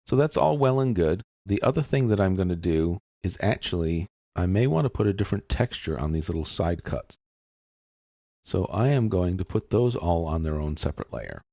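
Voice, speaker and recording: A man narrating a software tutorial in a casual, step-by-step explaining manner. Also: low-pitched, sing-song, normal speed, vocal fry, no echo, studio quality. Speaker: software instructor.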